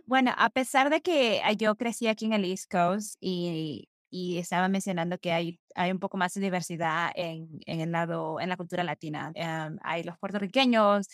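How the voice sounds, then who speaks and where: A woman's voice: on the low side, moderate pace, hardly any pauses, no room sound, clean studio sound. A woman from Peru with a Mexican-tinged Spanish accent who hosts the interview, chatting and asking questions in a friendly, sing-song way. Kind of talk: conversational interview.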